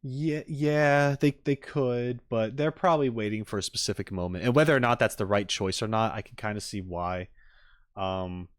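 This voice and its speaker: A man's voice: on the low side, moderate pace, dry recording, clean studio sound. A man streaming casually to viewers, rambling and thinking aloud as he gives tentative opinions. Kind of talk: casual live-stream chat, reacting and giving opinions.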